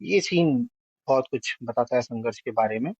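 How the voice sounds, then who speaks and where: A man's voice: medium pitch, animated, moderate pace, darkish tone, slightly rough, no room sound. A man giving a spoken film review as a panelist, going through it point by point. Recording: dry, slightly dull lo-fi audio.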